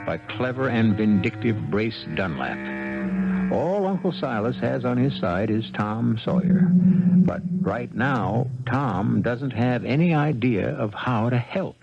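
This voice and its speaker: A man hosting a 1970s radio mystery, narrating theatrically with dramatic, deliberate phrasing. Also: medium pitch, sing-song, vocal fry. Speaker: host and narrator. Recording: muffled 1970s radio broadcast, music behind.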